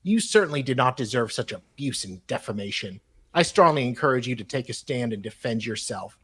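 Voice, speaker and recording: A man's voice: mid-range voice, expressive, a little fast, a bit bright, husky. A man co-hosting a live show, reading emails aloud as a dramatic reading with casual asides.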